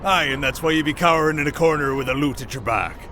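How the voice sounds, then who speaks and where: A man playing a salty old pirate captain in a fantasy audio roleplay, taunting and unhurried in archaic sailor speech. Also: on the high side, bright, forceful tone, a little hiss or hum. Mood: menacing, amused.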